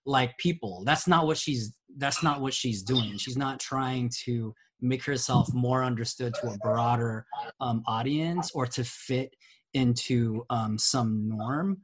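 A man's voice: mid-range voice, takes it slowly, slightly rough. A man co-hosting a podcast, making his point earnestly while hunting for words with many "um"s and tag questions. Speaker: podcast co-host. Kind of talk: casual podcast discussion.

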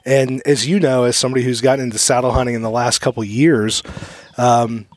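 A man in his mid-thirties talks into a studio microphone in a dry, close-sounding room. He jokes, laughs a lot and tells stories with animated energy. His pitch sits in a middle range. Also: brisk, no room sound. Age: 30s. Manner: joking, laughs often, animated storytelling. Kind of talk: casual podcast chat.

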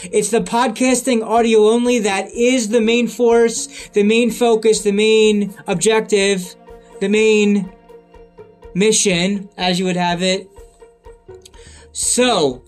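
Guy with a pretty high voice going on and on, repeats himself a lot, bit of music in the back. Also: flat delivery, slow. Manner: repetitive, restarts phrases, earnest. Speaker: podcaster and video creator. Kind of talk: rambling solo update.

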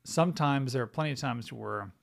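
A man's voice: mid-range voice, brisk, gravelly. A middle-aged man hosting a Bible teaching podcast, talking conversationally and thinking aloud with many fillers and "right?" tag questions.

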